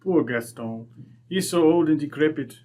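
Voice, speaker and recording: A man playing a proud, courteous French viscount with a French accent and halting, formal English in a radio drama. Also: on the high side, dark tone, natural voice. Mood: wistful, polite. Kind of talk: old-time radio drama acting.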